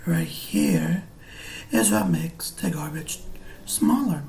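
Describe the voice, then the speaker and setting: A man reading a picture book aloud as a teacher, explaining things simply and deliberately for young children. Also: a little high, wildly swooping pitch, gravelly, a little mellow, a little hiss or hum. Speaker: preschool or early grade teacher.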